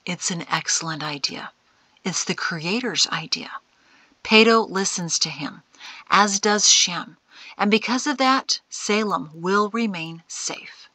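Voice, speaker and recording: A woman voicing a calm, wry old mentor in an audiobook, breathy and half-whispered, unhurried and gently chiding. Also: lowish voice, no echo, heavily acted. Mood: assured, chiding. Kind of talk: audiobook character acting.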